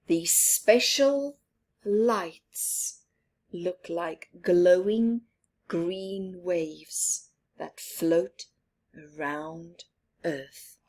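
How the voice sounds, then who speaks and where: A woman reading a children's picture book aloud, expressive, with soft laughs and whispered asides. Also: lowish voice, extremely slow. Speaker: storyteller.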